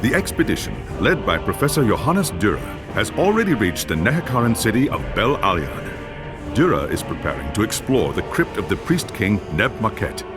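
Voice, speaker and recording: A man's voice: on the low side, very animated, moderate pace. Grave epic game narrator, man. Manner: grave, storytelling. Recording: narration over music.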